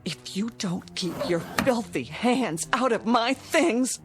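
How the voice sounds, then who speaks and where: A woman's voice: a little high, expressive, slow, over music. An actress playing a frightened but defiant woman confronting an intruder, alarmed and indignant, in a suspense drama. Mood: frightened, angry.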